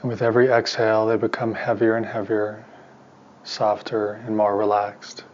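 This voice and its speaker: Man leading a guided meditation, even monotone. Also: fairly low pitch, normal speed, lo-fi sound. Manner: calm, soothing instruction in short, spaced phrases. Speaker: meditation teacher and therapist.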